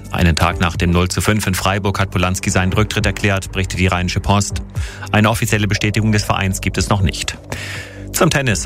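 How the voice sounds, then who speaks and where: Low-voiced German male news reader. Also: a bit bright. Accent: standard German from Germany. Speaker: radio news anchor. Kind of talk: scripted news reading. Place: radio studio. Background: faint music bed.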